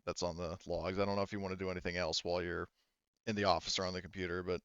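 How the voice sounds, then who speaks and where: A man's voice: low-pitched, level tone, very fast, a bit bright, smooth, dry recording, dull audio. A man casually narrating an online tabletop game as game master, hesitant and rambling, with many false starts.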